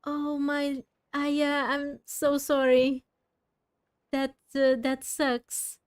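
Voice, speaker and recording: A woman live-streaming a game, chatting casually and gently with her viewers in a slightly halting, apologetic way. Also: high voice, level tone.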